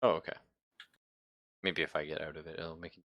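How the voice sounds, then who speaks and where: Low, gravelly man running a tabletop game. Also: level tone, brisk, sharp tone, no room sound, studio quality. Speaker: game master. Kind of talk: improvised tabletop game narration and casual chat.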